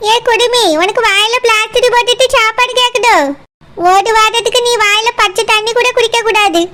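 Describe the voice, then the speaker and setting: A woman's voice: extremely high pitch, level tone, normal speed, bright, forceful tone, slight background noise. A woman voicing a scheming, threatening old villain woman in a cartoon, with a Kanyakumari Tamil accent. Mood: bossy. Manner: plotting aloud to herself, menacing. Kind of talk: cartoon voice acting.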